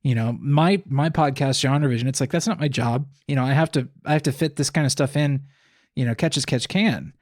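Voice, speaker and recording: A man's voice: medium pitch, brisk, a little mellow, no room sound, clean studio sound. A man co-hosting a podcast, discussing books casually and analytically, often repeating words as he thinks aloud. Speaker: podcast co-host.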